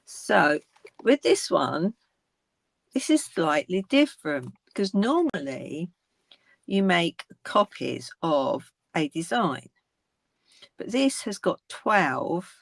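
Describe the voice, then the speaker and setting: Slow croaky older woman demonstrating crafts. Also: fairly low pitch, dry recording. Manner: chatty, unboxing items one by one. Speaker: craft video host.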